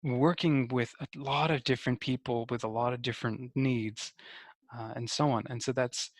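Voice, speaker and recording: A man's voice: mid-range voice, fairly even intonation, normal speed, dry recording, studio quality. A man hosting a conversational podcast interview, thoughtfully feeling his way through summaries and questions.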